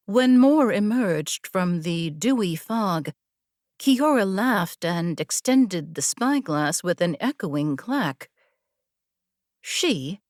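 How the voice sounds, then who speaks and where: Unhurried woman narrating fiction in a dry booth. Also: on the low side, darkish tone, no room sound. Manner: measured, deliberate storytelling delivery. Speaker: audiobook narrator.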